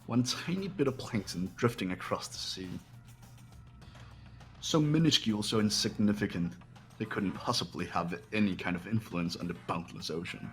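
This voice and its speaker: A man's voice: on the low side, normal speed, a bit bright, soft background music, close to an everyday voice. A man voicing a cold, arrogant young man who talks down to everyone. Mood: contemptuous. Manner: haughty, dismissive.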